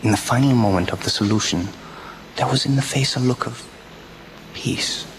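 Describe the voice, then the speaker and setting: An actor playing a grave witness giving a solemn report in measured, formal radio drama narration. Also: male voice, medium pitch, lightly acted. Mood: solemn, awed. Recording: clear background noise.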